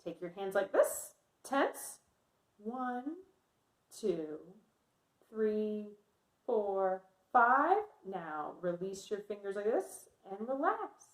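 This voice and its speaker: Female read-aloud teacher for young children: encouraging, questioning, unhurried, roomy sound. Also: medium pitch, very animated. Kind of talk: read-aloud lesson with asides to young pupils. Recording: roomy, echoey indoor sound.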